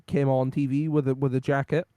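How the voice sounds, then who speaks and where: A man co-hosting a podcast and chatting casually, rambling with lots of ums, his voice going hoarse. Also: mid-range voice, little pitch movement, fairly quick, dark tone, no room sound, clean studio sound. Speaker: podcast co-host. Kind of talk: casual wrestling recap chat.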